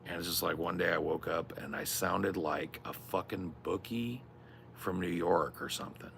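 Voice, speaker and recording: A man with a strained, raspy voice chatting casually on a stream, rambling and swearing a lot. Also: low-pitched, normal speed, a bit bright, slight background noise. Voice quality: gravelly. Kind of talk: casual chat.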